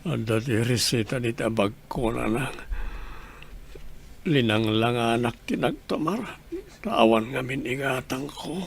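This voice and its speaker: A man's voice: medium pitch, a little slow, creaky, a little hiss or hum. A man with an Ilocano accent playing a sick, remorseful, pleading family man in an audio drama. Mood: subdued, dejected.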